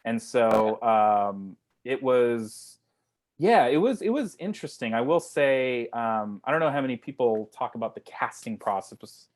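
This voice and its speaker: A man's voice: medium pitch, animated, unhurried. A man speaking casually as a writer-director in a video call Q&A, rambling informally and often repeating his words. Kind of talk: casual Q&A discussion.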